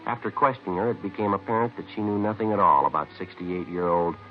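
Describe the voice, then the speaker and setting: An actor playing a terse, businesslike police sergeant in a 1950s radio crime drama, speaking in a clipped, matter-of-fact way. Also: male voice, low voice, quick pace, lightly acted. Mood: businesslike, deadpan. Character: terse, businesslike male police sergeant narrating his case. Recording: muffled vintage radio recording with music.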